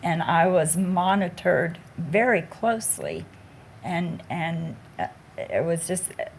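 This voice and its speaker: A woman on a panel talking about her own cancer treatment, slow and halting, with many fillers. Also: fairly low pitch, expressive, gravelly, a little hiss or hum. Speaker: patient panelist. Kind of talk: panel discussion, personal story.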